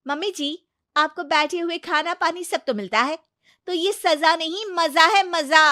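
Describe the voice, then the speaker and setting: Woman playing spoiled young wife: high, loud, self-important, dramatic complainer for a family moral story. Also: measured pace, no room sound, clean studio sound, heavily acted. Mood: sarcastic. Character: arrogant, whiny, self-important young married woman, lazy daughter-in-law.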